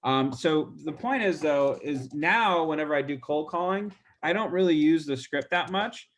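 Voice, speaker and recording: A man's voice: fairly high pitch, lively intonation, normal speed, fairly bright tone, a little hiss or hum. Stuffy-nosed man on a video call. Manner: casual, chatty, many fillers. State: stuffy nose. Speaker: real estate agent demonstrating a call script. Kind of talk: role-play training demo.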